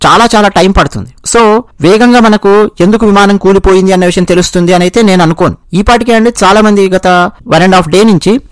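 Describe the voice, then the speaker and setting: A man's voice: high-pitched, a little fast, smooth, no echo. A man with a Telugu accent giving explanatory news commentary, restating and rephrasing his points as he goes.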